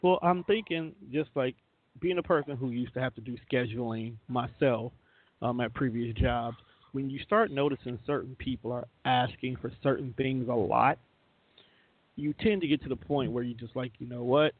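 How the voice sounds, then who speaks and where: A man's voice: medium pitch, normal speed, no echo. A man hosting a radio show in casual, conversational group chat, explaining things informally. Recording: narrow tinny phone-like sound.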